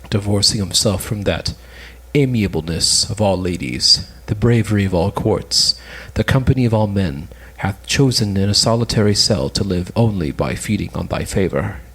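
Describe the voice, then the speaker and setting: A man's voice: on the low side, slightly rough, poor audio. A man playing a lovesick, melancholy suitor, earnestly declaiming in an amateur cold reading of an old stage play.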